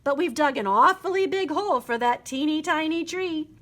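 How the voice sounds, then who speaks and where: Lady doing a smart practical beaver guy for storytime, good humored, high voice. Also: lively intonation, normal speed, a bit bright.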